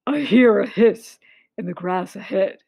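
A woman voicing a big male storybook monster, hungry and easily impressed, in a lowered, altered voice. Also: unhurried, gravelly, warm tone, slightly rough, dry recording.

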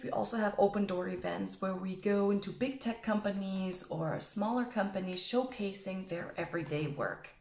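A woman in her thirties from Switzerland, German her first language, giving a recorded keynote to camera in an earnest, emphatic way with some filled pauses, recorded on a webcam in a small room. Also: medium pitch, gravelly. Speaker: keynote speaker. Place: small room with bookshelf, indoors. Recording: echoey room sound.

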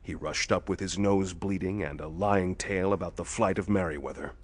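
A steady police detective from a pulp thriller audio drama. His voice is fairly low, and he lays out the facts in a quiet, even, methodical way with firm authority. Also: a little fast. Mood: measured, grave.

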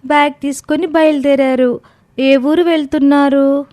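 A woman voicing an innocent, curious little grandson with a childlike, drawn-out manner in a story. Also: high-pitched, steady tone, dark tone, voice pushed up, close to an everyday voice.

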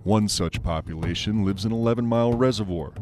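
A man's voice: on the low side, a little fast, a little mellow. A man delivering scripted documentary narration.

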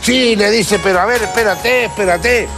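An older Chilean comedian rattles off a vulgar joke on a TV studio stage, full of swearing and quick voiced quotes. His voice sits fairly high for a man. Loud music fills the background. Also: vocal fry. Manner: profane, animated storytelling with voiced quotes. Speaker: stand-up comedian. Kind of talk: crude stand-up joke telling.

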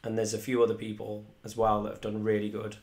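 Young male musician hosting a live stream, rambling and chatty, fairly monotone, desk mic at home. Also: on the low side, fast talker. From Wales. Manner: chatty, rambling, reads viewer comments aloud. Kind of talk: casual live stream chat. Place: small room indoors at home. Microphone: desk microphone in front.

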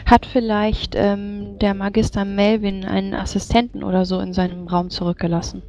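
A woman's voice: mid-range voice, level tone, normal speed, dark tone, faint music behind. A woman plays a tabletop role-playing game, voicing her character and describing its moves. The recording is a little dull and lo-fi. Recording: slightly dull, lo-fi microphone sound.

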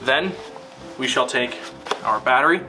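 A man narrating a scripted instructional film in a deliberate, formal, step-by-step manner. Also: medium pitch, lively intonation, distant mic, music in the background.

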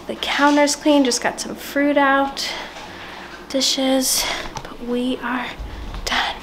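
A woman's voice: high-pitched, flat delivery, takes it slowly, noisy background. A woman in her thirties chatting casually and informally in a home vlog, with a self-deprecating, conversational manner. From South African family background. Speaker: vlogger. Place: inside a house, open dining room and kitchen.

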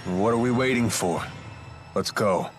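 A cocky mercenary, played by a male voice actor in a video game. He has a fairly low voice and talks with casual, wry swagger. Music runs quietly underneath. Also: measured pace, lightly acted. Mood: earnest, resolute. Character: roguish, cocky mercenary man with easy swagger. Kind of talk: English video game dub acting.

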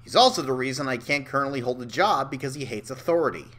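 A man playing an anxious young man in an animated dark comedy, confiding in a wry, hesitant, exasperated manner. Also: mid-range voice, quick pace, faint music behind, natural voice. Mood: weary confiding. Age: young adult.

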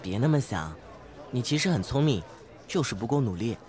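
An actor playing an earnest, oblivious teenage class monitor who talks in a preachy, naive way in an audio drama. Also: male voice, mid-range voice, lively intonation, brisk, a little mellow, a faint crowd, lightly acted. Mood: innocent, kindly. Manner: preachy, matter-of-fact, naive. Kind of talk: modern audio drama acting.

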